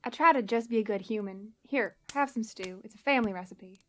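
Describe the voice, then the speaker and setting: Woman playing a higher-voiced, easygoing moonshiner gal. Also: a little fast, natural voice. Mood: caring. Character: kind, plainspoken, easygoing rural woman moonshiner. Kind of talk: audio drama acting.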